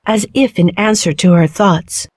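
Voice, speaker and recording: A woman narrating a children's fairy tale in a measured, clearly phrased storytelling manner. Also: lowish voice, darkish tone, no echo. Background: background music.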